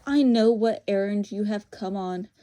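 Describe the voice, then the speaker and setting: A woman's voice: mid-range voice, unhurried, dark tone, close to an everyday voice. A woman voicing a proud, tempting evil witch in a storybook, commanding, scornful and grandly formal. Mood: knowing, insistent.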